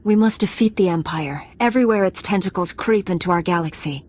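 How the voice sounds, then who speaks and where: A woman's voice: on the low side, a little fast, a little mellow, close to an everyday voice. A woman playing a resolute, earnest young Jedi knight in a video game cutscene.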